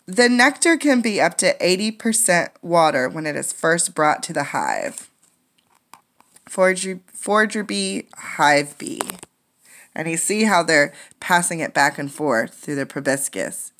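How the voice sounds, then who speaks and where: A woman's voice: low-pitched, very animated, a little slow, fairly bright tone. A woman teacher reading a children's nonfiction book aloud, adding explanatory asides for young listeners.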